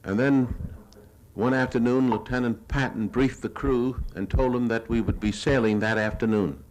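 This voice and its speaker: An older American man giving a lecture, narrating his own war film in a measured, plain-spoken, reminiscing way. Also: mid-range voice, fairly even intonation, a little mellow, slight background noise, poor audio. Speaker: newsreel reporter giving a lecture. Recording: 1970s archival lecture audio recording.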